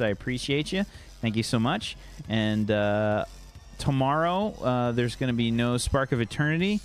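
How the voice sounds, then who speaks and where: A man as game master, rambling through a casual stream wrap-up with many ums and thanks. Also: mid-range voice.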